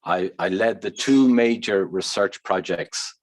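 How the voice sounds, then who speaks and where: A man's voice: sits low, a little slow. A man with an Irish accent giving frank, earnest remarks as a researcher on a conference panel, laying out his points one by one. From Ireland.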